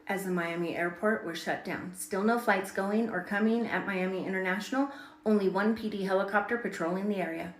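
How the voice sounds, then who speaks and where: A woman's voice: mid-range voice, brisk, a little hiss or hum. A woman giving dramatic storytelling commentary, emphatic and repeating words for effect. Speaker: online video commentator.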